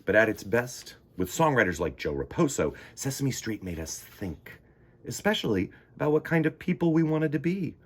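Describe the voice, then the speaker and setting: A man reading a children's picture book aloud in the first person, theatrical, sometimes dropping into hushed emphasis. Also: medium pitch, big pitch swings, normal speed, husky. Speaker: children's storytime reader.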